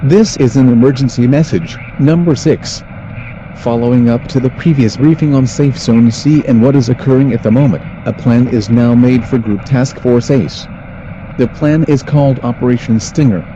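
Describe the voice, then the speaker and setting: A man reading a scripted emergency military briefing as an announcer, in a formal, flat official manner. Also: medium pitch. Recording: muffled lo-fi audio with faint noise.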